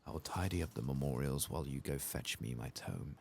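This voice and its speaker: A soft-spoken man voicing a solemn, sorrowful tomb keeper from a fantasy game, speaking formally and gravely. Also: rumbling low, animated, fairly quick, slight background noise, full character voice. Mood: weary, sorrowful. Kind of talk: reading fantasy game quest dialogue aloud.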